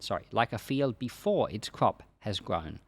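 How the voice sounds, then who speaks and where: A man reading scripture aloud in a solemn, declamatory way, with deliberate emphasis on key phrases. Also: on the low side, big pitch swings, no echo. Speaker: pastor reading a Bible chapter aloud for a podcast.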